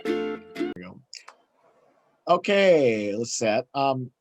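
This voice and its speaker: A man hosting a casual talk show, excitable and chatty, sometimes halting with fillers when summarizing. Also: medium pitch, music in the background. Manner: excitable, laughs easily, swears casually. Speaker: show host and interviewer. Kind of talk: casual chat and interview.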